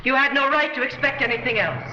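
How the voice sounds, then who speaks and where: Actress doing cold selfish 30s movie gal, high voice, clipped, echoey old film sound. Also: female voice, fast, close to an everyday voice. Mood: firm, agitated. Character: cool, self-interested young woman leaving her crooked lover. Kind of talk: 1930s film acting. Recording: muffled, echoey, hissy 1930s film soundtrack.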